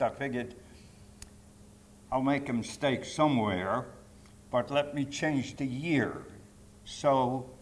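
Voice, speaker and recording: A man's voice: mid-range voice, slight background noise. A man in his nineties from Poland with a Polish accent, telling his life story to an audience in an emphatic, digressive way with rhetorical asides. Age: 90s. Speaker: Holocaust survivor giving testimony. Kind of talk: personal testimony to a live audience. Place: public talk to an audience.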